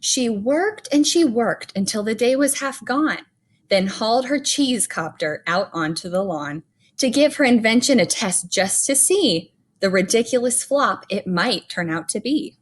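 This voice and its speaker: A woman with a fairly high voice reads a children's story aloud at a very slow pace. Her delivery is expressive and deliberate, with dramatic pauses and stressed words, in the style of a bedtime read-aloud. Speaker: storyteller reading a children's picture book aloud.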